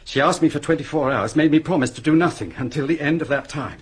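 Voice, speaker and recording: An actor in a radio play playing a wealthy, anguished gentleman with emotional, emphatic delivery. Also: fairly high pitch, quick pace, breathy edge. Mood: anguished, confiding. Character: anguished, wealthy English gentleman haunted by loss.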